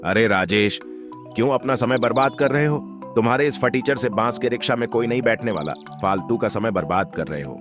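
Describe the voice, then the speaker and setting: A man's voice: medium pitch, brisk, over music, lightly acted. A man voicing a scornful, money-minded village auto driver in a cartoon, mocking and dismissive. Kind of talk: Hindi cartoon voice acting.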